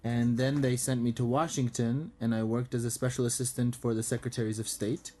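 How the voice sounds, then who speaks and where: A man's voice: medium pitch, flat delivery, fairly quick, mellow. Armenian-born man explaining at length. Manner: explanatory, fluent with frequent ums and uhs. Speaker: guest, diplomat. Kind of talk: casual interview talk.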